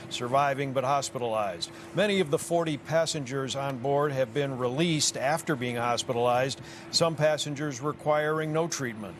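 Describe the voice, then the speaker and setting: An American man giving a scripted investigative news report with a measured, emphatic broadcast delivery. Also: mid-range voice, a little hiss or hum.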